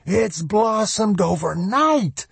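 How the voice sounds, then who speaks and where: A man's voice: high voice, lively intonation, vocal fry, husky, no echo, voice pushed up, heavily acted. A man voicing an emotional, folksy old country man full of wonder in an audiobook story. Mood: overjoyed. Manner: folksy, exclamatory, drawn-out.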